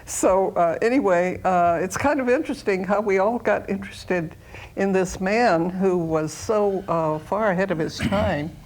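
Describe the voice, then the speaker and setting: A woman hosting a casual discussion in a halting, conversational way. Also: medium pitch, darkish tone, poor audio. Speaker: panel host.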